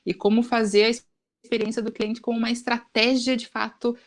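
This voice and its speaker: A woman's voice: fairly high pitch, little pitch movement, fairly quick. A Brazilian woman explaining things as an expert guest in a casual podcast conversation, often adding short tag questions.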